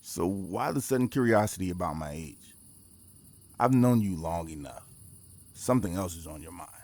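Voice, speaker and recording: A man playing a weary forty-four-year-old time leaper in an audio drama, reserved and low-key. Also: lively intonation, moderate pace, a little mellow. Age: 40s. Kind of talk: naturalistic audio drama dialogue.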